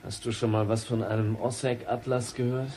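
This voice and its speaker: Actor as sardonic journalist over background ambience. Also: male voice, medium pitch, little pitch movement, moderate pace, dark tone, a little hiss or hum, close to an everyday voice. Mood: dry, probing. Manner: sardonic, dry. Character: wry, sceptical journalist man needling an official. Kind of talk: German radio drama dialogue.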